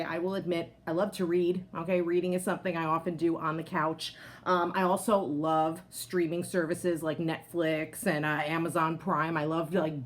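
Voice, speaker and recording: A woman speaking like a teacher at story time, reading aloud to children and chatting in explanatory asides, often checking in with her listeners. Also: a little high.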